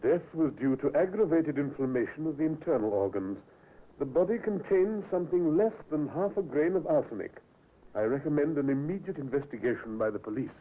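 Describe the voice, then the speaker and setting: A man's voice: fairly high pitch, expressive, fairly quick. A man acting a formal, matter-of-fact Victorian expert witness in a 1950s radio drama, reporting in clipped sentences. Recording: thin, phone-like old radio recording.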